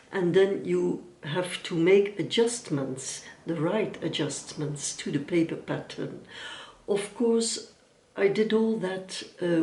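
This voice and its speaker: A woman's voice: fairly low pitch, darkish tone. A middle-aged woman from Belgium, whose first language is Dutch, hosting a casual sewing vlog in careful English with frequent ums. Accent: Dutch-speaking Belgian (Flemish). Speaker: YouTube sewing and knitting host. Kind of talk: casual vlog talk to camera. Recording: roomy echo from some distance.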